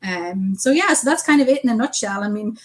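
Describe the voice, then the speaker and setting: A woman's voice: a little high, animated, fairly quick. A woman from Ireland with an Irish accent, a guest researcher talking frankly and with jokes, full of ums. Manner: frank, joking, many ums. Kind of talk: casual interview talk.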